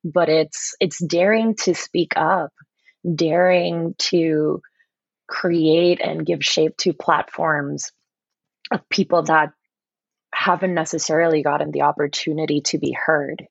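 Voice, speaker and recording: A woman's voice: low-pitched, fairly even intonation, slow pace, gravelly, breathy edge, no echo, dull audio. A woman from Puerto Rico, a podcast guest, speaking earnestly and passionately, often repeating words as she starts a thought. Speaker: podcast guest, yoga teacher. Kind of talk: casual podcast conversation.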